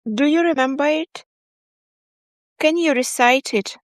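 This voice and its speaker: A woman's voice: sits high, unhurried, no echo, clean studio sound. A woman hosting a podcast interview, asking questions in a friendly, encouraging way and often repeating her words. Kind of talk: casual interview.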